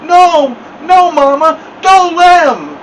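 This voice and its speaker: A man's voice: extremely high pitch, big pitch swings, slow, fairly bright tone, recorded from a distance, slight background noise, voice pushed up, full character voice. A man voicing a panicked, crying boy in an audiobook story, mumbling as if his mouth is stuck. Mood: desperate, wailing. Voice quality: mumbling, muffled. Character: panicked boy with lips frozen to mirror, crying.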